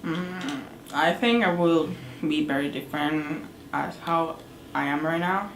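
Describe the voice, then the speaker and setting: A sixteen-year-old girl from El Salvador answering interview questions haltingly, repeating words in simple, English. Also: low voice, echoey room, a little hiss or hum, rough recording. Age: teens.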